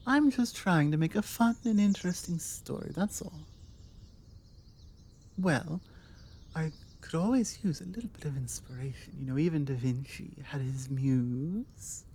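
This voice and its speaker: A woman with a British accent playing a forward, confident female vampire in an intimate ASMR roleplay, teasing and coaxing with deliberate pauses. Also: low voice, slight background noise. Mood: flirty, musing. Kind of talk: ASMR roleplay, intimate one-sided conversation. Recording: steady outdoor ambience with birdsong. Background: birdsong and outdoor ambience.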